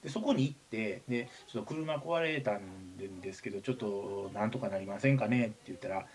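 A man's voice: on the low side, quick pace, a little mellow. A man with a Kansai accent chatting casually and telling anecdotes, acting out the exchanges he quotes. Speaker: radio show talker, writer.

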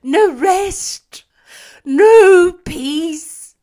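A woman voicing a mournful male ghost bound in chains, booming and drawn out in a storybook reading. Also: expressive, heavily acted. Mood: wailing, mournful. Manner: loud, drawn-out, lamenting delivery.

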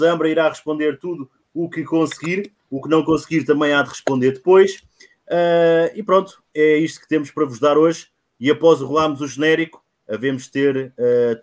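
Chatty podcast host, a man. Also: fairly high pitch. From Portugal. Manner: chatty and self-mocking, many fillers. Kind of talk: casual live-stream chat.

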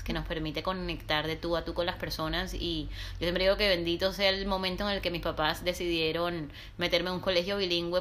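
A woman's voice: fairly low pitch, a bit bright. A woman from Colombia's Caribbean coast with its accent, speaking in a casual podcast chat, reflective and earnest, with frequent hesitations. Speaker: podcast co-host and guest telling her story.

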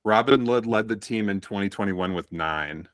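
Low-voiced man reciting stats. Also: moderate pace, fairly bright tone, no room sound, clean studio sound. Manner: reels off numbers, matter-of-fact. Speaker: stats-minded co-host. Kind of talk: casual sports chat.

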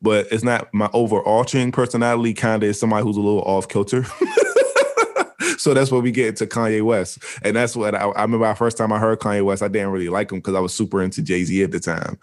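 A young adult man co-hosting a podcast in casual chat, animated and rambling, laughing often. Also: on the low side, quick pace. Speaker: podcast co-host.

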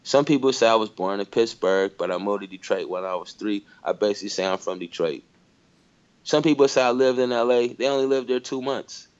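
A man's voice: on the low side, brisk, clear, resonant tone, lo-fi sound. A man from Michigan in a casual conversation, thinking aloud with lots of "you know" and "like". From Michigan, USA. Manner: reflective, many fillers like "you know".

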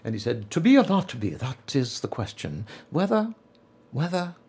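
A man hosting a religious radio discussion, talking in a conversational, anecdotal way with a gravelly, husky voice. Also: medium pitch, big pitch swings, moderate pace, a little mellow. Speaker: radio show host.

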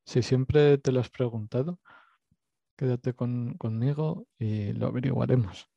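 Host: man, Basque Spanish accent, mellow dry voice, casual rambling self-deprecating chat. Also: mid-range voice, measured pace, creaky, dry recording, lo-fi sound. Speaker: podcast host. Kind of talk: casual interview podcast.

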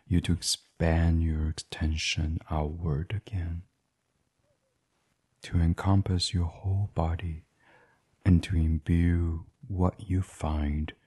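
A man gently and soothingly reading a guided sleep meditation in a breathy, husky voice, as the host. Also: deep voice.

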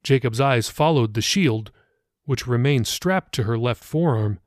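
A man's voice: mid-range voice, animated, measured pace, dry recording, studio quality. A man reading his own novel as scripted audiobook narration for a podcast.

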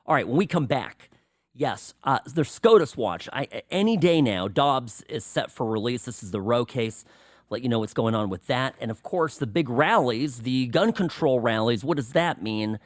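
Animated talk radio host, dry studio sound. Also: male voice, mid-range voice, moderate pace, no echo. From United States. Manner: animated, sarcastic, confiding asides. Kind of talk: political talk radio monologue.

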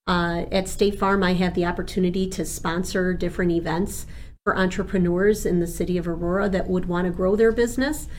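A woman from Argentina whose first language is Spanish, an insurance agent talking as a guest in a lively, chatty way and laughing easily. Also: fairly low pitch, a little fast, a little hiss or hum. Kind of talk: interview guest, chatty and upbeat.

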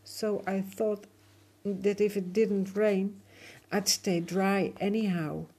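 A woman voicing an anxious young boy adrift in a boat, talking loudly in a high, pleading voice. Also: steady tone, takes it slowly, breathy edge. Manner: high-pitched, loud and pleading.

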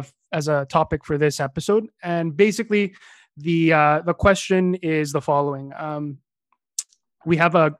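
A man with a slightly higher voice co-hosts a casual football podcast. He talks in halting bursts, packed with filler sounds. His voice is recorded close and dry, with no room sound. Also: no echo. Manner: hesitant, many filler uhs. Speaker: podcast co-host.

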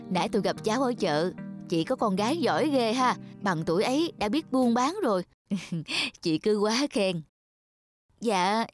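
Neighbourly market-town woman for animation: courteous, conversational, fairly high, light music underneath. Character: market women and a young orange seller, polite, neighbourly. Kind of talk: animated moral tale dubbing. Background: faint music.

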